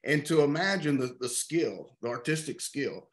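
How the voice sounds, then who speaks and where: Brief: expressive man in his fifties, from East Texas, literature discussion leader on a webcam, a touch high-pitched. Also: moderate pace. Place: home room with art on walls. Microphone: video call.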